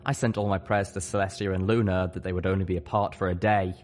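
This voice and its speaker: A narrator reading a scripted story in the first person, with measured, expressive delivery. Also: low voice, faint music behind. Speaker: audiobook reader.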